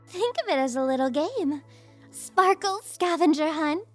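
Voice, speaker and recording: A woman's voice: extremely high pitch, no room sound. A woman playing a playful, taunting young female trickster in an ASMR audio roleplay, with a coy, mock-innocent way of drawing out her words. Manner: teasing, coy, mock-innocent, drawn-out phrasing. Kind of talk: ASMR audio roleplay, scripted character acting.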